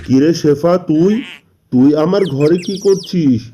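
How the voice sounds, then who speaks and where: A man's voice: on the high side, a little slow, dark tone, a little hiss or hum, natural voice. A man voicing a blustering, aggrieved tiger uncle in a children's cartoon, exclaiming and scolding theatrically. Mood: angry, accusing. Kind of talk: Bengali children's cartoon voice acting. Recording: music behind the voice.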